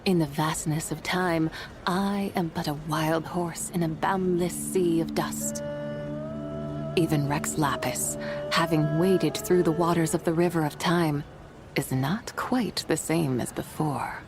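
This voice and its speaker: A woman's voice: low voice, fairly even intonation, measured pace. A woman voicing an ancient, proud and mischievous horse spirit in a video game, with grandiose, archaic phrasing. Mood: stately, musing. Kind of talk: video game character dialogue. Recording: game music behind the voice.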